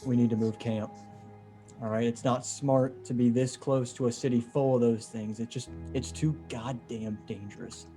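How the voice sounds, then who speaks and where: A man's voice: mid-range voice, fairly even intonation, moderate pace, warm tone, close to an everyday voice. A man acting an earnest, worried husband and survivor leader in a horror comic table read, urgent and pleading. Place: remote video call read. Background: music under the voice.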